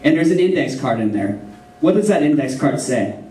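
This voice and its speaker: A man's voice: mid-range voice, big pitch swings, a little fast, dark tone. A man performing a joking storybook routine on stage for a live audience, chatting and laughing between lines. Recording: roomy hall sound from far off.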